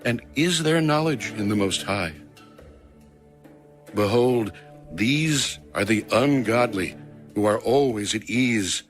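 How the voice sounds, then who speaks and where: Low, slow man reading over music. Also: lively intonation. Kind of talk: solemn scripted scripture reading.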